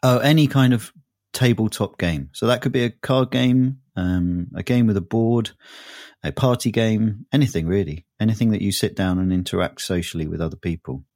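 A man's voice: on the low side, moderate pace, darkish tone, no room sound, clean studio sound. A man with a sore, throaty voice, chatting casually as a podcast co-host, rambling and often laughing. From southern England. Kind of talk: casual chat.

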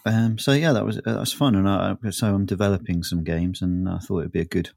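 A man's voice: deep voice, steady tone, quick pace, vocal fry, a little mellow, dry recording, studio quality. A man with a sore, throaty voice, chatting casually as a podcast co-host, rambling and often laughing. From southern England. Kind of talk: casual chat.

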